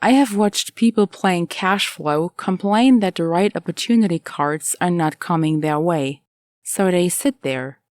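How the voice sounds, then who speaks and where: A woman's voice: fairly low pitch, measured pace, darkish tone, no echo. Woman narrating nonfiction, very dry sound. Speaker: audiobook narrator.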